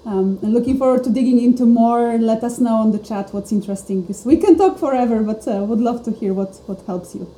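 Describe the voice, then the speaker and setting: Woman from Poland, podcast guest, reflective, dark warm voice, echoey room with light hiss. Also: a little high, a little fast, hardly any pauses. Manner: reflective, earnest. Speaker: guest, startup co-founder. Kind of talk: casual podcast chat. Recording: roomy sound with faint noise.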